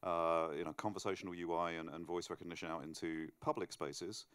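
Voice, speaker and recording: A man's voice: deep voice, steady tone, a little fast, fairly bright tone, clean tone, no echo. A man moderating a conference session in an informal, conversational way, setting up the subject for his guest. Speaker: moderator, interviewer. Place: live on stage at a conference.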